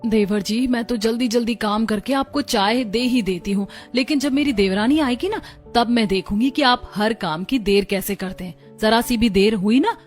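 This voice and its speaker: A woman voicing a teasing, sharp-tongued young sister-in-law in a family cartoon. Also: a little high, normal speed, faint music behind, close to an everyday voice. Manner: teasing, chiding. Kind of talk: Hindi family cartoon dubbing.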